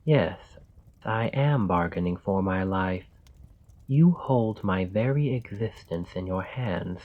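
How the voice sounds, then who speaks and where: A man playing a calm, calculating royal in an ASMR roleplay, speaking in a measured, formal and deliberate way. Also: deep voice, phone-quality sound, full character voice. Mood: bargaining, earnest. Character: calm, calculating male royal bargaining with his assassin. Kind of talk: ASMR roleplay, scripted dramatic monologue.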